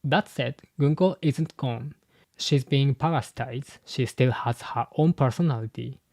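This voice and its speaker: A man hosts his channel, walking through his points in a methodical, scripted way. His voice sits at an ordinary middle pitch. The sound is close and dry, with no room echo. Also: measured pace, creaky, a little mellow. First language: Japanese. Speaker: YouTube host and translator. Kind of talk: scripted commentary.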